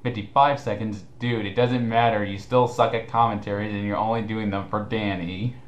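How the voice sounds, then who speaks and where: A man's voice: on the low side, steady tone, moderate pace. A man co-hosting a casual mocking commentary, sarcastic and profane in the way he talks. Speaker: co-host reading his written replies to comments. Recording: echoey room sound.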